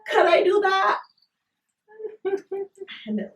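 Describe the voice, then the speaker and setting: Woman host, candid storyteller, drawn-out delivery, mic set back in an echoey room. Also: extremely high pitch, very animated. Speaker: podcast host. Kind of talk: casual chat. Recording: roomy echo, distant mic.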